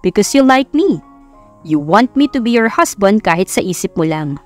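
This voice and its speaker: A woman's voice: mid-range voice, very animated, normal speed, faint music behind, lightly acted. A Filipino woman voicing a cocky, sarcastic young billionaire playboy who taunts, in an audiobook romance. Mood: teasing. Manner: taunting, code-switching into English.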